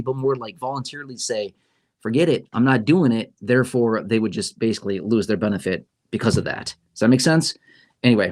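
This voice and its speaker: A man hosting a casual livestream on YouTube, explaining policy news in a conversational way and often checking in with "okay?" and "right?". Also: mid-range voice. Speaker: YouTube host.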